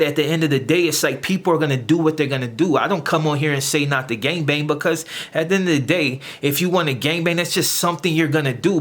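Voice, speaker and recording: A man's voice: a little high, a bit bright. A man hosting his own channel, ranting straight to camera at his critics in a confrontational, lecturing way, often stumbling and repeating words as he searches for them. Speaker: channel host. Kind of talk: direct-to-camera rant.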